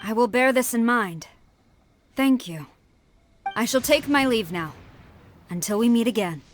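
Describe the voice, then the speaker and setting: A woman's voice: a little high, animated, measured pace, slight background noise. A woman playing a stern, dutiful, proud military commander in a video game, speaking formally and with authority. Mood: formal, measured.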